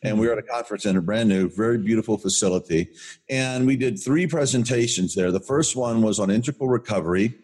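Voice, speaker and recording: An older man with a booming voice, co-hosting a podcast and telling a story in an enthusiastic, rambling way with many restarts. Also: quick pace, a little mellow, low-quality recording. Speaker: podcast co-host. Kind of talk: casual rambling chat.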